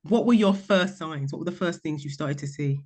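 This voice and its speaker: A woman's voice: low voice, fairly quick, a little mellow. A woman hosting a podcast, putting conversational interview questions to a guest.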